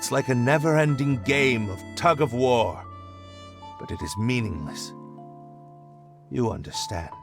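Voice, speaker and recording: An actor playing a disillusioned young nobleman, talking in a hesitant, halting, reflective way. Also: medium pitch, natural voice. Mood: rueful. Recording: game cutscene with music behind.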